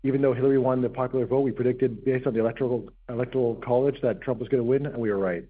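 Man, hoarse, husky, rapid presenter delivery, phone-like sound. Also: mid-range voice, steady tone. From Canada. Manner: brisk, fact-heavy, frequent restarts. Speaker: company CEO presenting. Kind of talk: investor pitch presentation. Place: conference session room. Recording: tinny phone-like line.

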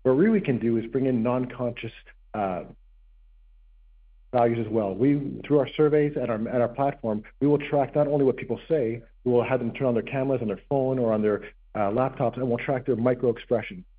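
A hoarse man presenting his company as CEO at an investor session, brisk and packed with figures, restarting words as he goes. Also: medium pitch. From Canada. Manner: brisk, fact-heavy, frequent restarts. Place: conference session room. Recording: tinny phone-like line.

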